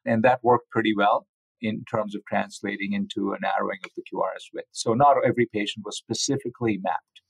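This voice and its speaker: Low, creaky-voiced man explaining methodically. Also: steady tone, no room sound, low-quality recording. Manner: measured, methodical, technical. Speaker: interviewed physician researcher. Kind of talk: explanatory interview answers.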